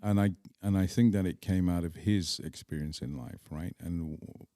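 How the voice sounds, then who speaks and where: Deep-voiced middle-aged Englishman, mellow. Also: normal speed, no echo, studio quality. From England. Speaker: podcast guest. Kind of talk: reflective personal storytelling in an interview.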